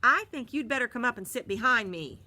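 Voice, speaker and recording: A woman's voice: a little high, animated, a little fast, bright, forceful tone, pitched above the performer's own voice, exaggerated voice. A woman voicing a firm, commanding woman school bus driver. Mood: stern.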